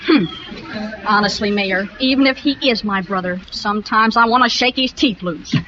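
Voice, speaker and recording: A woman's voice: medium pitch, moderate pace, sharp tone, natural voice. A woman playing a lovestruck, easily offended teenage girl in breathless 1940s radio drama style. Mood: exasperated. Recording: muffled, noisy old radio recording.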